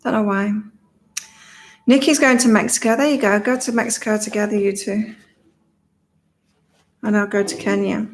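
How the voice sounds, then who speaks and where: A woman hosting a casual psychic livestream, slightly croaky, reading viewers' comments aloud and laughing often between card readings. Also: mid-range voice, steady tone, normal speed, pauses often. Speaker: psychic reader and channel host.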